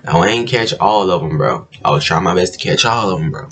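Groggy deep-voiced young man. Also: animated, fairly quick, a bit bright, slightly rough. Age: young adult. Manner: laid-back, chuckling, repeats "bro". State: just woke up, groggy morning voice. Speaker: reaction channel host. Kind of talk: casual reaction video. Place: bedroom, indoors.

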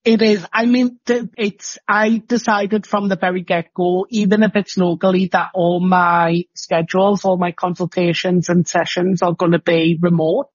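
A man's voice: sits high, flat delivery, normal speed, hardly any pauses, dry recording, muffled recording. A man with a Geordie accent, a hypnotherapist guest chatting emphatically in a podcast interview over a remote call. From northeast England.

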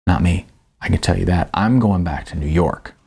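A middle-aged man with an American accent and a gravelly, slightly husky voice, narrating his own first-person book as an author. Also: deep voice, normal speed, a little mellow. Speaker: author reading his audiobook. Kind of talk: first-person audiobook narration with casual asides. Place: small indoor room, seated at a table.

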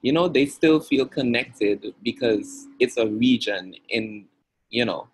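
A young man with an Antiguan accent answering interview questions in a measured, reflective way. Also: mid-range voice, slight background noise, poor audio. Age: young adult. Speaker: podcast guest. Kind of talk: thoughtful interview talk.

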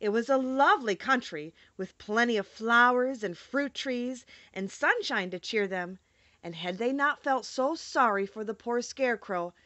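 A woman's voice: fairly high pitch, bright, forceful tone, no echo, highs cut off. A woman reading a children's storybook aloud as an audiobook narrator. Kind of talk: expressive scripted storybook narration.

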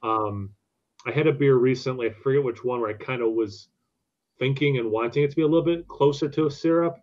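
A man in his thirties in relaxed podcast conversation, speaking from home on a video call. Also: mid-range voice, a little fast. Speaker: podcast co-host. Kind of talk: casual chat. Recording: slightly dull lo-fi call audio.

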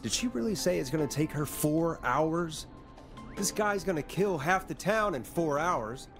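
An actor playing a jaded late-night radio host in a video game, with a wry, exasperated manner. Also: male voice, a little high, lively intonation, measured pace. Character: jaded fallen big-city radio DJ, dry and weary. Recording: music playing behind the voice.

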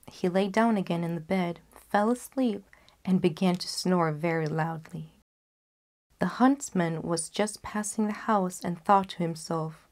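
A young woman storyteller narrating a scripted fairy tale in a careful, measured read-aloud manner. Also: on the low side, darkish tone. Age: young adult. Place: small curtained indoor space, seated.

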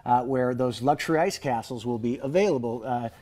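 Mellow-voiced man, relaxed chatty guest. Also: medium pitch, a little fast. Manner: relaxed and chatty, many "uh"s and restarts, chuckles often. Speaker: fishing guide and business owner. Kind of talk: casual TV studio interview. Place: TV studio set. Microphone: lapel mic.

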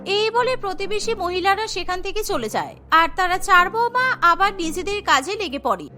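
A woman's voice: very high-pitched, lively intonation, normal speed, pushed and bright, faint music behind. A woman narrating a scripted cartoon story as a storyteller.

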